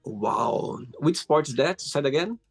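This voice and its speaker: Male interview host, gravelly, husky, encouraging, casual, interested exclamations. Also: fairly high pitch, lively intonation, measured pace, faint music behind.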